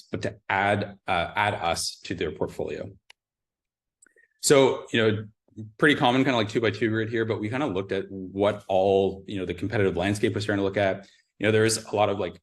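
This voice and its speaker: Low-pitched, fast-talking man presenting. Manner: conversational, explanatory, hedging with "kind of" and "like". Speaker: brand strategist presenting research. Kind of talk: informal case-study presentation.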